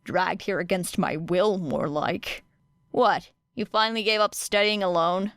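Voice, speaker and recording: A woman voicing a sardonic teenage boy who teases in a deadpan way. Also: lowish voice, measured pace, no echo. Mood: teasing. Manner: deadpan, teasing. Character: dry sardonic teenage boy hero student. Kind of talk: audiobook character reading.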